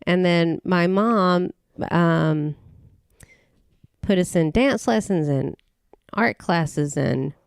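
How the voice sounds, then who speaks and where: A middle-aged woman from Tennessee, a podcast guest recalling her family in casual conversation, halting often and restarting her sentences. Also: lowish voice, vocal fry, clear, resonant tone, dry recording, clean studio sound. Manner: rambling, halting with many restarts and fillers.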